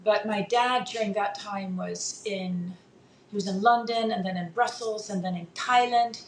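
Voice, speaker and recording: Dutch-born woman in her fifties, gravelly voice, lots of ums, echoey room with a faint hiss. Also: medium pitch, unhurried. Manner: hesitant, many fillers and restarts. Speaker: interview guest. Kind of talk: casual conversation.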